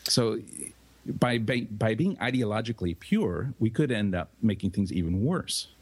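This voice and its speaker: Man, measured explainer, husky low voice, dry sound. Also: animated, a little mellow, no echo. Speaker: podcast co-host. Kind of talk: casual podcast discussion.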